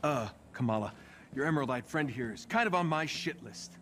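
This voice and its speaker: A man's voice: mid-range voice, animated, brisk, a bit bright, slight background noise, natural voice. A man playing a bitter, sardonic middle-aged inventor in a video game, with a glib, sarcastic delivery. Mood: irritated, sarcastic. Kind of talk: video game cutscene acting.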